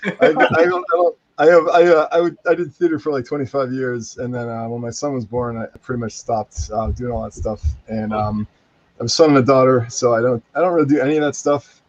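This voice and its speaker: A man's voice: medium pitch, steady tone, fairly quick, dry recording. A middle-aged man, a guest who acts and writes plays, chatting and rambling with many restarts. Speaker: guest, voice actor and playwright. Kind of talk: casual chat. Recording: dull, dry close mic.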